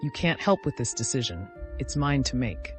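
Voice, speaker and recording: A man's voice: medium pitch, very animated, normal speed, faint music behind, close to an everyday voice. A man voicing an exhausted, brooding but resolute warrior in a fantasy story, serious and weary.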